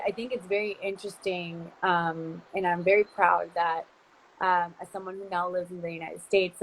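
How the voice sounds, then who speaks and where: A woman from Costa Rica leads a casual livestream interview over a video call. Her voice sits a little low, and she talks in long, chatty, rambling turns full of restarts. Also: husky, rough recording. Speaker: interviewer, company founder. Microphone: phone, video call.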